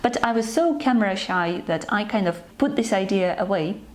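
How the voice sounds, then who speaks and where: A young woman from Ukraine, hosting her own channel, talking to her viewers about herself in an unhurried, earnest, slightly hesitant way. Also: medium pitch, lively intonation. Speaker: channel host. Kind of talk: personal talk to camera.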